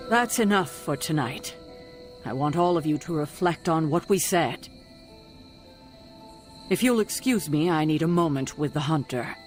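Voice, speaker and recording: A man acting a stern, authoritative mentor of a superhero team in a video game, speaking gravely and with command. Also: a little high, animated, a little slow, long pauses, soft background music.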